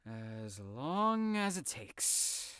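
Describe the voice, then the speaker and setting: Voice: mid-range voice, big pitch swings, extremely slow, fairly bright tone, smooth, dry recording, clean studio sound, full character voice. An actor playing a sarcastic, stubborn, exhausted young hospital patient in an audio drama, drawling flippant lines. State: very tired, sighing.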